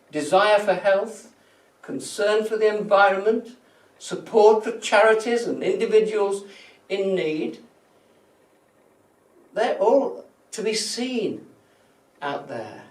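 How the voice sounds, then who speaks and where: A male church minister gives a thoughtful sermon in a fairly high voice. He speaks deliberately, leaning on key words and letting phrase endings drop away softly. The sound is very echoey and somewhat distant from the microphone. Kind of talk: reflective sermon from prepared notes.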